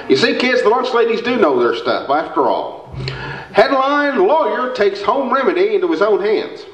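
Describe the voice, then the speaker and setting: A male actor plays a folksy small-town radio man with drawling phrasing. His pitch runs high and swoops around in old-time comedy style. The sound is roomy, picked up from a theatre stage. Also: highs cut off. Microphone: stand microphone, camera far off. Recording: roomy stage recording from the audience.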